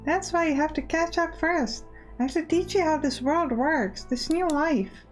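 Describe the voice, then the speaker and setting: A teasing, bossy girl from a visual novel, voiced by a woman, pitched very high. She guides a newcomer briskly, with faint music behind her. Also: lightly acted. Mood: bossy, insistent.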